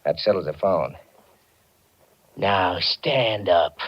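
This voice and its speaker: An actor playing a menacing, gun-toting American blackmailer in tough, slangy 1940s radio style. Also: male voice, sits low, expressive, slow, heavily acted. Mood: threatening. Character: menacing male blackmailer and murderer holding a gun. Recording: muffled, noisy 1940s radio recording.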